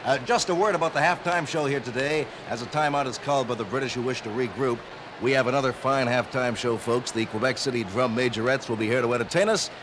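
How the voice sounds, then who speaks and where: A man playing an excitable TV sportscaster who gives brash, booming play-by-play. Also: fast talker, background noise, lightly acted. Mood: excited. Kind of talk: mock sports commentary.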